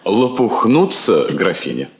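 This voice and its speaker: An actor playing a wry, philosophical embassy counsellor, dry and deliberately rhetorical, in stage-style radio drama. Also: male voice, lowish voice, big pitch swings. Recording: echoey old studio drama recording.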